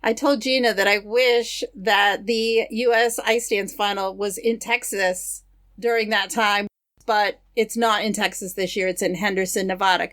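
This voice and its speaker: A woman co-hosting a podcast, reading news items in a steady, matter-of-fact way with casual asides. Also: medium pitch, unhurried. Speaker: podcast co-host.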